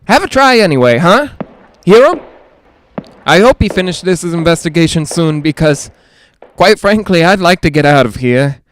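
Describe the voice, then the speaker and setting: Actor as high-voiced, jittery radio broadcaster. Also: male voice, lively intonation, slight background noise, close to an everyday voice. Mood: nervous, uneasy. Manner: nervous, rambling, halting, self-reassuring. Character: jittery, lonely man broadcasting alone from haunted house. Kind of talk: 1940s-style radio drama monologue.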